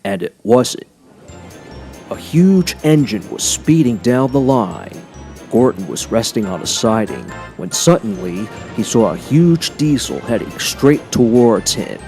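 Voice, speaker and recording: A man's voice: medium pitch, very animated, unhurried, mellow, faint music behind. A man reading scripted narration for a children's story as a storyteller.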